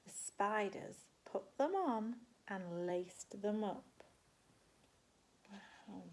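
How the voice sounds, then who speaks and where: A woman, a primary school teacher, reading a picture book expressively to children and pausing to put questions to her listeners. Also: medium pitch, expressive, darkish tone, a little hiss or hum. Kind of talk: animated picture-book storytelling with asides.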